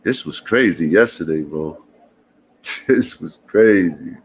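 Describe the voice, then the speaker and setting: A man, chatting casually to passersby and viewers in a relaxed morning vlog, calling everyone brother and man. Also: low-pitched, slow, slightly rough. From Puerto Rican family. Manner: laid-back, slangy, calls people brother and man. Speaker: vlogger.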